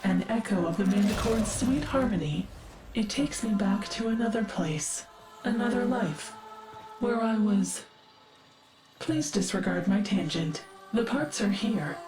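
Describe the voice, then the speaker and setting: A woman's voice: mid-range voice, animated, over music, close to an everyday voice. Echoing, formal female AI archivist, played by a woman. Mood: wistful. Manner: measured, precise, formal. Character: female artificial intelligence archivist, wistful, learned and formal. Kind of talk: scripted video game character dialogue.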